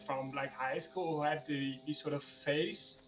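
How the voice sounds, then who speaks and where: A man's voice: a little high, steady tone, unhurried, sharp tone, faint music behind. Hesitant man on distant voice chat. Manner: hesitant, searching for words, lots of fillers. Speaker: guest on voice chat. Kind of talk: casual chat.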